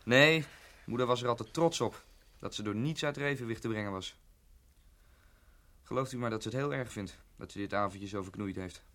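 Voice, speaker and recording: A man playing a young student son in a radio play. His voice is mid-pitched and his delivery is eager and earnest, full of youthful longing. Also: a little fast, fairly bright tone, close to an everyday voice. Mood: proud, eager. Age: young adult. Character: young student son, keen, homesick for wide-open Africa. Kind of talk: radio drama acting.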